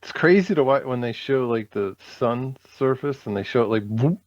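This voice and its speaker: A man's voice: animated, moderate pace, dry recording. Dull-sounding man chatting casually. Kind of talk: casual chat. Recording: dull, muffled low-fidelity sound.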